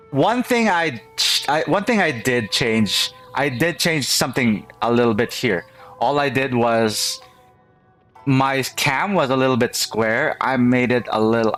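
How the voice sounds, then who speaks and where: A man's voice: medium pitch, a bit bright. A man chatting casually to viewers as a game streamer, rambling and often repeating his phrases. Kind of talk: casual livestream chat to viewers.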